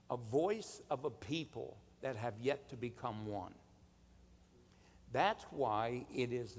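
Slow-paced male preacher in a reverberant hall. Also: medium pitch. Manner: emphatic, deliberate, rhetorical questions and calls for amen. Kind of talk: sermon.

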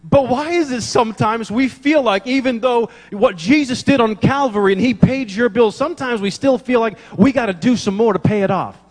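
A man's voice: very high voice, moderate pace, few pauses, big-room sound. A man preaching a sermon in church, telling funny stories and acting out the dialogue, laughing at his own jokes. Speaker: pastor.

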